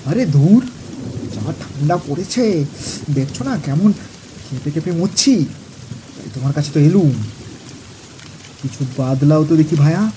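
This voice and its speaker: Male actor as grumbling, pushy office pal for audio drama: mellow, slightly high voice, colloquial and exclamatory. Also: lively intonation, measured pace, pauses often, slight background noise, rough recording. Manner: exclamatory, complaining, cajoling. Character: chummy, grumbling, pushy office clerk friend.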